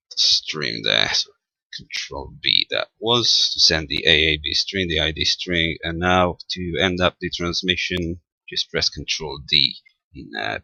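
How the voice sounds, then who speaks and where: A man giving an informal technical demonstration as a presenter, halting and thinking aloud as he goes. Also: very deep voice, creaky, sharp tone, husky, no echo, low-quality recording. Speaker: amateur radio tutorial presenter. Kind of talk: informal spoken software demonstration.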